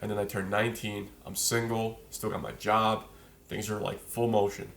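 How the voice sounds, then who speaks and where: A man's voice: fairly low pitch, little pitch movement, moderate pace, vocal fry, a bit bright. A man in his twenties from Poland, a podcast guest telling his life story in a loose, slangy way. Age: 20s. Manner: slangy, loose storyteller, fillers like "you know". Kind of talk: casual chat, storytelling.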